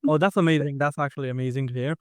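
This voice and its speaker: A man's voice: medium pitch, steady tone, dry recording, clean studio sound. A man hosting a podcast interview, asking questions and reacting enthusiastically. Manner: enthusiastic, quick questions and affirmations. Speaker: podcast host.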